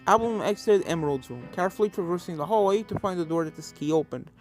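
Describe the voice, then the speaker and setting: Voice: medium pitch, animated, fast talker. Story narrator, scripted fan fiction reading, background music.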